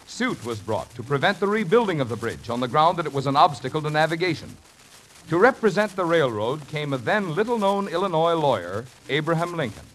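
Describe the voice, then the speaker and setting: A man's voice: a little high, sing-song, brisk, a bit bright, rough recording. An American man announcing in the formal, declamatory scripted style of a 1950 radio show. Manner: stately, declamatory. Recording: vintage radio broadcast, faint noise.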